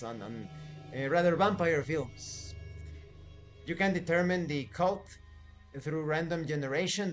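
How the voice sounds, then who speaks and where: A man giving an informal spoken review as a show host, explaining in a halting way with conversational asides. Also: a little high. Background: background music.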